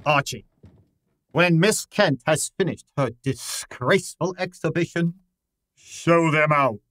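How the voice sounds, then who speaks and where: A man's voice: a little high, slow, fairly bright tone. A man playing a large, pompous, irritable genius detective in an old time radio style drama, speaking formally and with exasperation. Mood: haughty, irritable. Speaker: actor in an audio detective drama.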